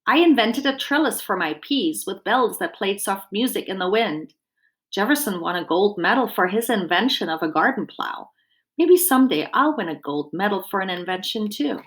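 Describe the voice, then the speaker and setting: Woman reading a storybook aloud. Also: mid-range voice. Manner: expressive, explains simply as if to young children. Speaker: dietitian reading a children's book aloud. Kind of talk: storybook read-aloud with explanatory asides.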